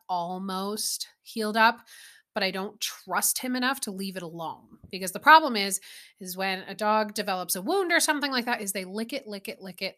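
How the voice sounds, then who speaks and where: A chatty woman in her thirties hosts her own podcast, rambling freely with exasperated asides. Her voice is bright and forward, with a mid-range pitch for a woman. She sounds relaxed and conversational throughout. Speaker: podcast host. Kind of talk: casual solo podcast chat.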